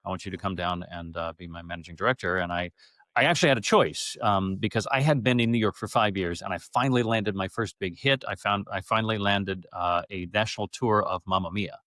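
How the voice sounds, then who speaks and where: A man's voice: low-pitched, a little fast, a bit bright, dry recording, clean studio sound. A middle-aged man telling stories casually as an interview guest, in a rambling, effusive way. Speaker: interview guest, stage actor. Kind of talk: casual conversational storytelling.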